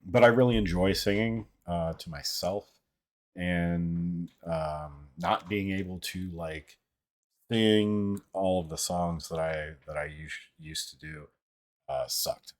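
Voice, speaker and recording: A man with a low, deep voice and a somewhat monotone delivery hosts a casual livestream. He rambles and halts as he talks, swearing freely. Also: takes it slowly. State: voice somewhat out of sorts. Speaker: livestream host. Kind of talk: casual livestream chat, reading viewer comments.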